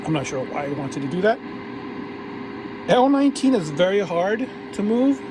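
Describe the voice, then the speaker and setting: A man casually narrating a hands-on radio repair tutorial while he works, relaxed and restarting his phrases often. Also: sits high, normal speed. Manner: relaxed, talks while working, frequent restarts. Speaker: vintage radio repair hobbyist. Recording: audible background noise.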